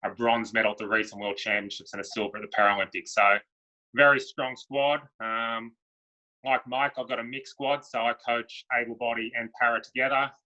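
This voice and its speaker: A man's voice: mid-range voice, brisk. An Australian man, a para athletics coach, talking casually and with lots of filler words about his squad. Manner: relaxed, many um fillers. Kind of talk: casual panel Q&A. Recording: dull lo-fi sound.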